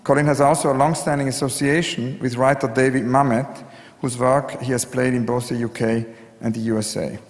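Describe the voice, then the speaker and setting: A man's voice: mid-range voice, fairly even intonation, fairly quick. A man hosting an event, giving a polite, formal introduction that is partly read out. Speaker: event host introducing speakers. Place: live on stage in a large hall. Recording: echoey hall with long reverb.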